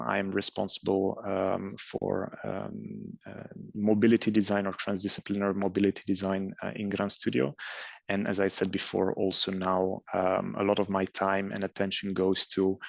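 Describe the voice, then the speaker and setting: A middle-aged man from Belgium giving a presentation on design, pausing often with ums and uhs. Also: deep voice, gravelly, no echo. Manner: hesitant, many ums and uhs. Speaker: design studio head and master's coordinator. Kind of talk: presentation talk with slides.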